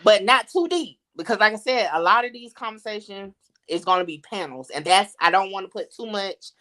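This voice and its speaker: A woman's voice: mid-range voice, a little fast. A woman hosts a casual online chat at an easy pace. She steers the talk loosely, with plenty of "um" and "like" along the way. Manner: relaxed, steering the talk with fillers. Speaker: host. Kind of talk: casual live-stream chat.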